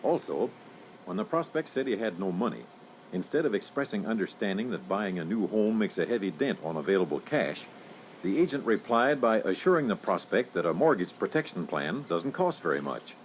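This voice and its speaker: Animated, low-pitched man instructing. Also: fairly quick. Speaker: sales training panelist. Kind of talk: scripted instructional critique. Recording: faintly noisy old reel-to-reel training record.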